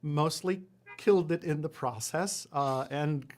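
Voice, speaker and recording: A man's voice: on the high side, measured pace. A man in his forties, raised in Puerto Rico with Spanish as his first language, telling his story in a casual interview with lots of fillers and self-deprecating asides. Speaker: guest, lawyer and entrepreneur.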